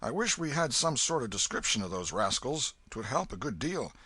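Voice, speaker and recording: A man's voice: big pitch swings, moderate pace, bright, forceful tone, breathy edge, dry recording, exaggerated voice. A man playing a hearty old Welshman. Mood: storytelling. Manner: hearty, booming, fatherly.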